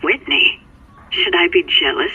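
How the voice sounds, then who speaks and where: A woman's voice: fairly low pitch, huge pitch swings, measured pace, sharp tone, slightly rough, highs cut off, faint music behind, exaggerated voice. An actress playing a flirtatious, teasing female cat burglar in a video game, playful and sultry, heard through a telephone filter. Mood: flirtatious, taunting.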